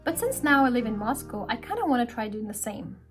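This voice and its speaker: A woman's voice: fairly high pitch, big pitch swings, quick pace. A woman from Pakistan, an English teacher, narrating a vlog in a friendly storytelling way. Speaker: English teacher and speaking club organizer. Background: soft background music.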